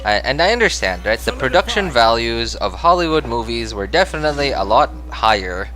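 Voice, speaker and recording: A man's voice: mid-range voice, sing-song, a little fast, sharp tone, soft background music. A young man from the Philippines, chatting casually and apologetically with viewers as a game livestreamer, rambling and self-deprecating.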